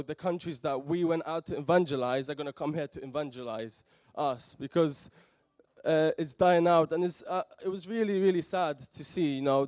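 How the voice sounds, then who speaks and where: A man's voice: a little high, no echo. Nervous young man preaching, lo-fi. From Poland. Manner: hesitant, many ums and restarts. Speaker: lay preacher. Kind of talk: nervous church talk.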